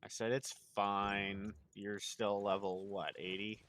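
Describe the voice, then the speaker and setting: A man's voice: fairly low pitch, flat delivery, slow, fairly bright tone. A man streaming a video game with casual, hesitant chat.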